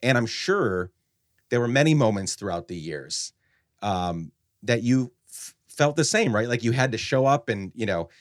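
A man from Guatemala hosting an interview, speaking earnestly and reflectively with frequent halting restarts. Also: mid-range voice, animated, no echo, clean studio sound. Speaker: podcast host.

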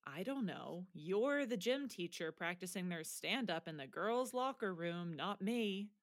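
A woman playing a sardonic teenage high school soccer player in an audio drama, dry and blunt. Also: animated, unhurried, no echo. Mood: dry, challenging. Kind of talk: naturalistic audio drama acting.

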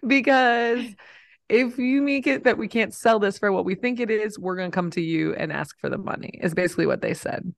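A woman's voice: mid-range voice, a little fast, creaky. A woman co-hosting a casual podcast, reading news items aloud and joking about them. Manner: reads news aloud, then jokes about it. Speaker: podcast co-host.

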